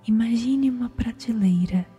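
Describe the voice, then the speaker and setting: A woman with a Brazilian accent softly whispers a guided sleep meditation in a slow, soothing, gentle manner. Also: on the high side. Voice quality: breathy, whispery. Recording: music behind the voice. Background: meditation music.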